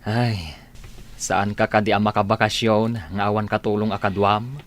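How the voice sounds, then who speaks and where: A man's voice: on the low side, level tone, moderate pace, lightly acted. An actor playing a companionable man in an Ilocano radio comedy drama. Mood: teasing.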